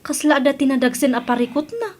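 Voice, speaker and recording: An actress plays a young Filipino co-worker with a very high voice. She is chatty and concerned about her friend, in the style of a radio comedy drama. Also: fast talker, a little mellow, close to an everyday voice. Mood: curious. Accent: Philippine.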